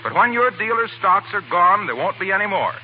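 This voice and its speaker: A polished, genial American pitchman on 1940s radio. His voice is fairly high and moves around in lively fashion. The old broadcast is a little dull. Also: brisk, a little hiss or hum. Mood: polished, persuasive. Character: genial, polished male announcer and pal. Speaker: announcer and sponsor pitchman.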